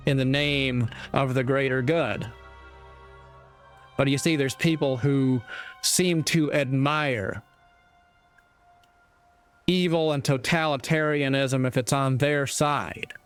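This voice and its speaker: Slow, smooth-voiced man in reflective commentary. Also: medium pitch. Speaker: speaker giving moral commentary.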